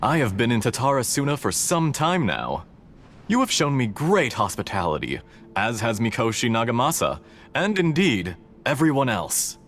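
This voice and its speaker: A man voicing a scheming male scholar in a game cutscene, oily and flattering, speaking with mocking chuckles. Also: mid-range voice, moderate pace, slight background noise.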